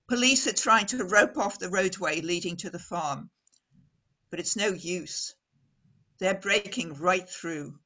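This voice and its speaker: A woman radio reporter played by an actress gives live, on-the-scene commentary in a radio drama. Her medium-pitched voice is a little husky. She speaks in formal, descriptive phrases with dramatic pauses and breathless awe. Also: bright, forceful tone, full character voice. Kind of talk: radio play acting as live news commentary.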